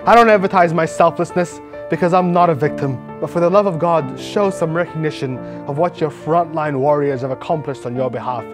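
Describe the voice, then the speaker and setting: A man's voice: a little high, a little fast, few pauses, close to an everyday voice. A man playing an aggrieved, pompous internet provocateur in a mock dramatic reading of rants, over music. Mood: wounded indignation. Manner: melodramatic, pompous. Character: aggrieved, self-pitying male internet provocateur ranting at fans. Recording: music behind the voice.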